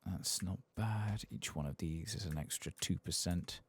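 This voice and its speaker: A man softly murmuring casual ASMR commentary over a video game, close to the microphone. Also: sits low, a little slow, slightly rough, dry recording. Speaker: gameplay streamer.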